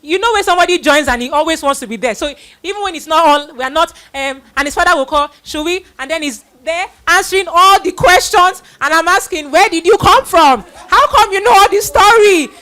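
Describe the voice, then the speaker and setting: A woman with a high voice hosts a live children's church event. She speaks as a middle-aged master of ceremonies, repeating phrases as she introduces people and urges them on. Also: animated, fairly quick, hardly any pauses, bright, forceful tone. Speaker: host of a children's Bible play event.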